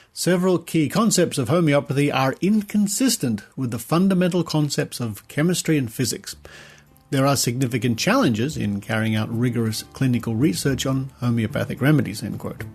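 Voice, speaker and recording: A man presenting a podcast, reading an article aloud in a measured, deliberate way with stressed key words. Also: mid-range voice, a little mellow, breathy edge.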